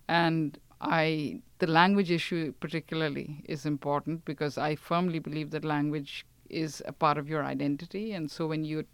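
A man from India who is a professor, interviewing a former student in a measured, attentive way. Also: a little high, clear, resonant tone, dry recording. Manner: attentive, brief affirmations, measured. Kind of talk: conversational interview.

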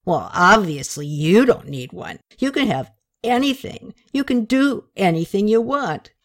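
A woman voicing a giddy, drunk young man who rambles and slurs with excitement. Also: medium pitch, unhurried. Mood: tipsy excited.

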